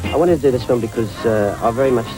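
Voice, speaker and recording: A man's voice: medium pitch, quick pace, over music, low-quality recording. A man from north London, a film director, answering interview questions in a reflective, rambling way.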